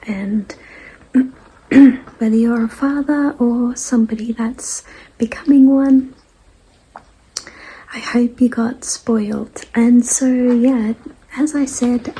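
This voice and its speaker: Woman, highish pitch, soft mellow tone, dry croaky throat from a cold, casual hesitant vlog chat. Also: slight background noise, rough recording. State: recovering from a cold, throat-clearing. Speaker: artist hosting a weekly message show.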